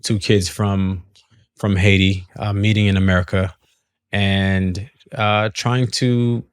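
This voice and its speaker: A man speaking in a relaxed, halting way as a singer being interviewed. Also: deep voice, clear, resonant tone, no room sound. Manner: relaxed, hesitant, laughs often. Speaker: guest singer. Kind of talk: conversational interview.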